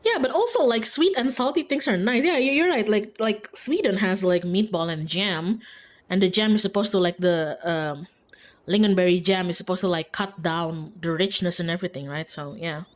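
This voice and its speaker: Girl streamer just chatting, casual, says like a lot, mid pitched voice. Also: normal speed. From Indonesia. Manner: informal, frequent "like", giggly. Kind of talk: casual livestream chat while playing a visual novel.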